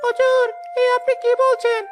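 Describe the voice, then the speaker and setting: A man's voice: very high voice, monotone, normal speed, over music. A man playing an alarmed, subservient villager in a cartoon. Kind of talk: dramatic cartoon voice acting.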